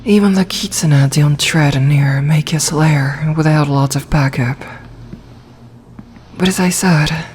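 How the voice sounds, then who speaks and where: A woman voicing a gentle, protective snake-woman in a calm, soft, reassuring ASMR roleplay manner. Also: low voice, slow, a little hiss or hum. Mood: tender.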